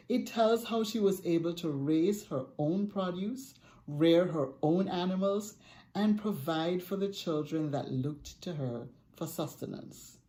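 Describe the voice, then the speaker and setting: An older woman, an author, reading a book's foreword aloud from her home study with expressive storytelling emphasis. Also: fairly low pitch. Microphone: webcam at desk, close framing.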